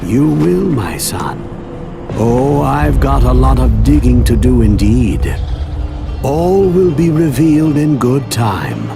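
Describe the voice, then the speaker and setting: An actor playing a cryptic old gravedigger in a video game, wry and knowing. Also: male voice, mid-range voice, big pitch swings, takes it slowly, gravelly, music in the background, heavily acted. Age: older. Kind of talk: dramatic video game acting.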